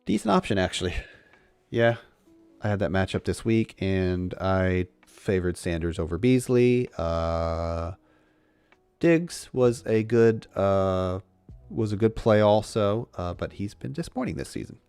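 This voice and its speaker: A man with a low, deep voice hosts a laid-back sports chat stream, rambling and restarting his sentences as he thinks out loud. The sound is close and dry, with faint music playing underneath. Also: a little slow, creaky, clean tone, no room sound. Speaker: fantasy football show host. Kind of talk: casual livestream chat.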